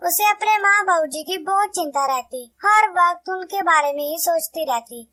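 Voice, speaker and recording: A woman narrates a cartoon tale in a sing-song storyteller's way. Her voice is very high and bright. The recording is close and dry. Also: lively intonation, normal speed, dry recording.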